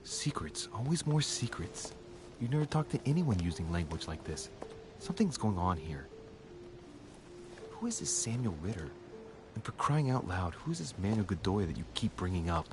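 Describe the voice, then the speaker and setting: A brooding young noble sleuth. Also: male voice, on the low side, big pitch swings, over music. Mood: musing. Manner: measured, musing, often hushed. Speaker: game protagonist. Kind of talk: video game voice acting. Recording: game audio with background noise.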